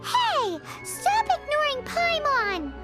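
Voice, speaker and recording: A woman voicing a small, chirpy, cheeky fairy-like companion in a video game, squeaky and childlike, speaking bluntly. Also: wildly swooping pitch, takes it slowly, over music, heavily acted. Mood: indignant. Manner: blunt, third-person baby-talk, exclamatory. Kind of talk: animated video game acting.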